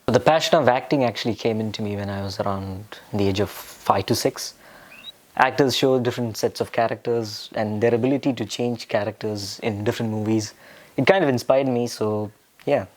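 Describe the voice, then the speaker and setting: A young man from India answering interview questions casually, with many pauses and fillers. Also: lowish voice, darkish tone. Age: young adult. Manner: hesitant, with frequent fillers and restarts. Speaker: interview guest.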